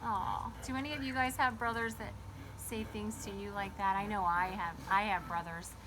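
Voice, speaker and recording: A woman hosting a children's storybook read-aloud outdoors, chatting in an animated way and putting questions to her young listeners. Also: mid-range voice, moderate pace, background noise. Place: garden, sitting outdoors by a small table fort.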